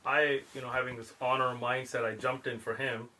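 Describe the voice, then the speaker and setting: A man's voice: medium pitch, little pitch movement, a little fast, sharp tone, low-quality recording. A middle-aged man, born in Pakistan, telling stories in a casual chat and laughing often. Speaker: guest. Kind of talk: casual storytelling.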